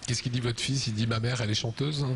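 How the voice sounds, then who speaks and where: A man hosting a TV interview, introducing his guest and asking brisk, probing questions. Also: medium pitch, fairly even intonation, creaky, a bit bright, a little hiss or hum.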